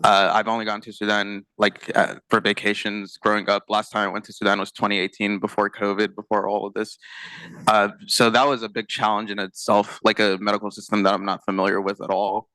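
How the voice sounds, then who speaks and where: A man's voice: fairly low pitch, level tone, fairly quick, bright, forceful tone, no echo, poor audio. A young man speaking as a physician on a conference panel, casual, with many fillers. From United States. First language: not Arabic. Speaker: panelist, internal medicine physician.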